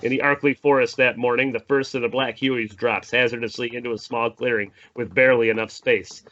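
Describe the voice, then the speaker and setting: A man's voice: medium pitch, fairly even intonation, brisk, a bit bright. A man narrating the stage directions of a screenplay in an informal table read. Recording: dull lo-fi audio with faint noise.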